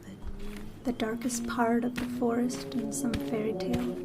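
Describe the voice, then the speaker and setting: A woman's voice: fairly high pitch, fairly even intonation, measured pace, creaky, over loud music. An actress playing a shaken, stubborn young woman lost alone, muttering and whispering to herself in an audio drama. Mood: uneasy, resolute. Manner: muttering to herself, self-scolding, breaking into whispers.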